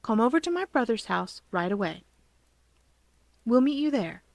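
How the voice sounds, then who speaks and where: A woman's voice: a little high, very animated, normal speed, no room sound. Woman voicing a reassuring young man. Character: kind, reassuring young man, helpful best friend.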